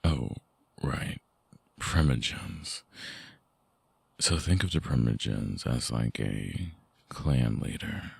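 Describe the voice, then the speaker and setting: A man playing a lovelorn, seductive vampire ex in an intimate ASMR audio drama, speaking softly and haltingly with a very deep, gravelly, husky voice. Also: lively intonation, no echo, exaggerated voice. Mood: brooding, explanatory. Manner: slow, halting, softly explanatory.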